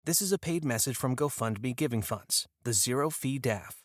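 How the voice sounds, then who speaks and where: A man's voice: mid-range voice, animated, normal speed, dry recording, studio quality. A man reading scripted ad narration as an announcer. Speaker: advert announcer. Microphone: close studio microphone.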